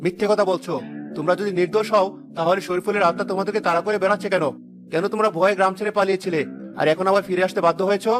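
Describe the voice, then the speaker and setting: A man's voice: sits high, steady tone, very fast, music in the background, close to an everyday voice. A man playing an earnest young stranger seeking justice in a cartoon, persuasive and moralizing. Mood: accusing. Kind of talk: cartoon voice acting.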